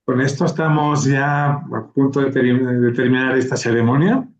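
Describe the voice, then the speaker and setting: A man hosting an award ceremony, halting and full of fillers and restarts. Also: medium pitch, roomy sound, lo-fi sound. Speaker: organizer and host of a literary award ceremony. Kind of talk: hesitant ceremonial remarks, switching to Catalan.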